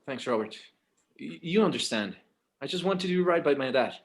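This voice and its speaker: Man acting high-voiced, anxious adult son. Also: expressive, moderate pace, a little hiss or hum. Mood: nervous. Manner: stammering, hesitant, earnest. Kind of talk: screenplay table read.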